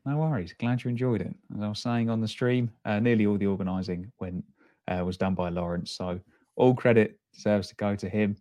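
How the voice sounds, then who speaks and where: A man's voice: lowish voice, steady tone, fairly quick, warm tone. A man hosting a podcast, introducing the show and speaking with guests. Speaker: podcast host.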